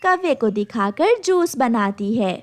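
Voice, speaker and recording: High, lively woman storyteller. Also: slow. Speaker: story narrator. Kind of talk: scripted children's story narration.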